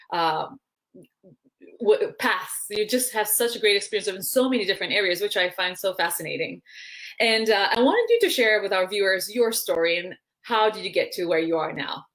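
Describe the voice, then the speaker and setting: Effusive woman interview host. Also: medium pitch. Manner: effusive, admiring. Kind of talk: casual interview.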